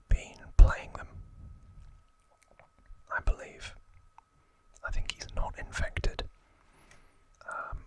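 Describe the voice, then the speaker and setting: A man whispering an ASMR recap in a breathy, unvoiced voice, explaining things in a hesitant, self-correcting way. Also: fairly bright tone. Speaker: host of an ASMR trivia channel.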